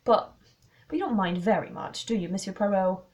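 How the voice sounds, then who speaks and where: A woman's voice: medium pitch, expressive, a little fast, darkish tone, natural voice. A woman voicing an awkward, apologetic English schoolboy prankster with a hesitant manner, in a story reading. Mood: uneasy, coaxing. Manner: faltering, awkward.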